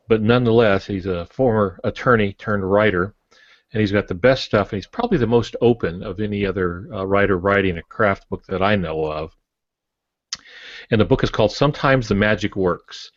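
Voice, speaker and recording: An older man with a fairly low voice talks casually as a podcast co-host, offering advice in a panel discussion. The recording is dry and a little dull. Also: normal speed, no room sound. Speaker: podcast co-host and writing teacher.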